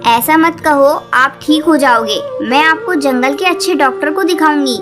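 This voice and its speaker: A woman's voice: high voice, moderate pace, natural voice. A woman voicing a practical mother bird in a cartoon, chiding yet affectionate. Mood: reassuring. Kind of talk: Hindi cartoon story acting. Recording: loud music under the voice.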